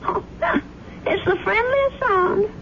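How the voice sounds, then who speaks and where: A woman's voice: extremely high pitch, slow pace, lo-fi sound, exaggerated voice. An actress playing a kindly, fretful, chatty elderly grandmother who keeps a boarder, in a radio drama. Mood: chatty, fond. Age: older. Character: kindly, fussing elderly widowed landlady, a grandmother. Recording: noisy band-limited old radio recording.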